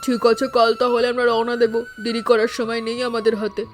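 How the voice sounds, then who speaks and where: A woman's voice: on the high side, monotone, a little fast, soft background music, lightly acted. A woman voicing an earnest, dutiful village daughter-in-law in a cartoon story, worried but resolute. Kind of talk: Bengali cartoon story dialogue.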